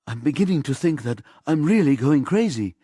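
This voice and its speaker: A man's voice: on the high side, animated, moderate pace, dark tone, breathy edge, dry recording, voice pushed up. A man voicing a distressed, exhausted woman in an audiobook, speaking in a hesitant, pleading way. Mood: distraught. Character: distressed, exhausted woman reluctant to summon a ghost.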